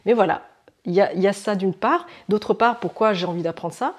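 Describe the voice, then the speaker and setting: A woman's voice: mid-range voice, fairly quick, slightly rough. Chatty woman with frequent filler hesitations. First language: French. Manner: hesitant, many fillers and restarts, expressive images. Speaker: astrology channel host. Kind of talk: casual explanatory monologue to camera.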